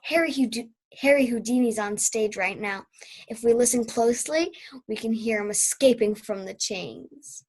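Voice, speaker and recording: A young woman acts out an eccentric old aunt from vaudeville days in a student radio play. The voice is high, with grand, theatrical delivery full of proud reminiscence. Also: normal speed, a little mellow, breathy edge. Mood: grand, nostalgic.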